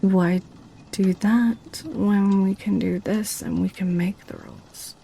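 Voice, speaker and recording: A woman's voice: medium pitch, fairly even intonation, slow pace, gravelly, mellow, husky, heavily acted. A woman playing a seductive, possessive succubus in an ASMR roleplay, with a teasing, sly way of talking. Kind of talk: ASMR roleplay audio drama.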